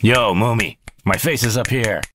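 A man's voice: lowish voice, normal speed, noisy background, natural voice. A man playing a cocky, sly space adventurer with a swaggering, teasing manner in a comedy sci-fi audio drama.

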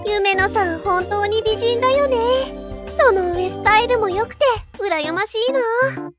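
A woman's voice: very high voice, unhurried. A woman playing a sweet, lovestruck teenage schoolgirl in an anime-style audio drama, gushing cutely. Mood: sweet, admiring. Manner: cutesy, gushing. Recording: loud music underneath.

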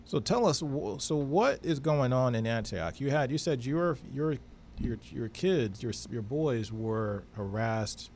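A man's voice: mid-range voice, expressive, unhurried, darkish tone, slight background noise. A middle-aged man co-hosting a show, casually interviewing a guest on a studio microphone. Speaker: podcast and radio co-host. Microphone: close studio microphone with headphones.

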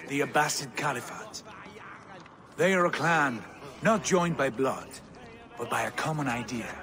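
An actor playing a hearty, boisterous Viking prince in a video game cutscene, laughing often. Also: male voice, mid-range voice, close to an everyday voice.

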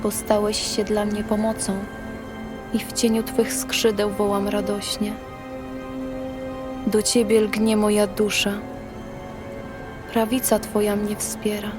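A woman's voice: mid-range voice, monotone, slow pace, pauses often, lightly acted. A woman voicing a devout psalmist longing for God in a prayerful scripture reading. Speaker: actor in an audio Bible. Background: music.

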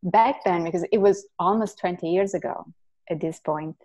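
A woman's voice: on the low side, moderate pace. A woman in her forties from Italy, with an Italian accent, speaking earnestly as a guest on a video call from her living room through earbuds. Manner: earnest, animated hand gestures. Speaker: guest neuroscientist. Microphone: earbud headset on video call.

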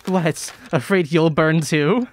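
A woman playing a desperate, obsessive dying writer in an audio drama, halting and fraught as she freezes. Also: deep voice. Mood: bitter, defiant. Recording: clearly noisy background.

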